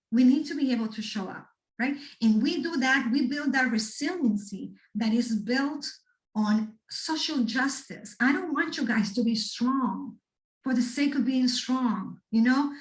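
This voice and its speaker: Woman giving an animated talk. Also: a little high. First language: Spanish. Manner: animated, addresses the audience directly with frequent "right?". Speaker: speaker at a student workshop. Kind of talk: motivational talk to students. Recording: echoey room, mic far off.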